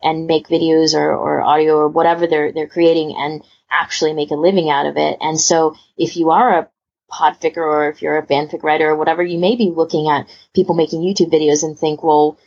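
Low, gravelly woman hosting casually. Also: little pitch movement, a little fast, muffled recording. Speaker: podcast host. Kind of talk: casual panel chat.

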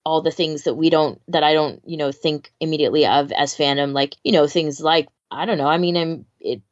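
A woman's voice: sits low, steady tone, brisk, dull audio. A woman co-hosting a casual podcast discussion, thinking aloud in a tentative, hedging way. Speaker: podcast co-host.

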